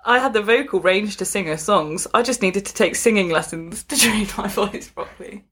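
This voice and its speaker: A woman's voice: medium pitch, moderate pace, fairly bright tone, slightly rough. A woman reading a story aloud in a casual, amused way, giggly and breaking off to comment like a podcast host.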